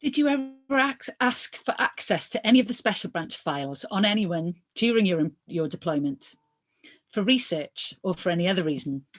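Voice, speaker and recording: A woman's voice: mid-range voice, lively intonation, normal speed. A woman reading a lawyer's questions aloud from a hearing transcript, formal and inquiring, with occasional stumbles.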